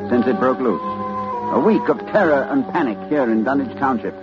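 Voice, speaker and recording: A man acting in a 1940s radio drama as a grave, anxious learned doctor, urgent and dramatic, with halting delivery. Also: medium pitch, lively intonation, music in the background, close to an everyday voice. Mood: solemn, dread. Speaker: narrator and lead actor. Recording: muffled, noisy old radio recording.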